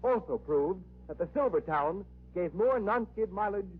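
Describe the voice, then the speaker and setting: A man's voice: high-pitched, lively intonation, normal speed, breathy edge. A man announcing in emphatic, dramatic 1930s radio style, as for adverts and programme introductions. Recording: tinny old radio recording, faint noise.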